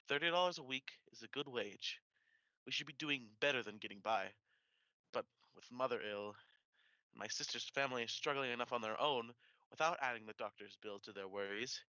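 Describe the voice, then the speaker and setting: A man's voice: mid-range voice, bright, forceful tone, no room sound, dull audio, close to an everyday voice. A young man playing a devoted, worried newlywed husband in a period radio play, speaking earnestly and tenderly. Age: young adult.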